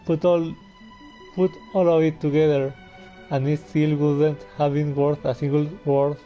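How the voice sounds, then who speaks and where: A man's voice: a little high, warm tone. A young man in a casual game playthrough, commenting and reading text aloud. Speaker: gamer, video host. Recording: slightly muffled, game music behind.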